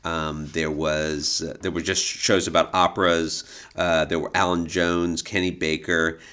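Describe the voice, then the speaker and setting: A man's voice: extremely low pitch, flat delivery, unhurried, a bit bright, smooth. A man hosting an informal podcast, explaining history in detail with an enthusiastic, knowledgeable manner. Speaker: podcast host and expert guide.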